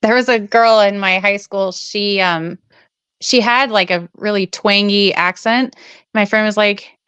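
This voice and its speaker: A woman's voice: medium pitch, a little slow, fairly bright tone, clear, resonant tone, no room sound, muffled recording. A woman in a casual chat as co-host, asking curious questions and telling stories with giggles.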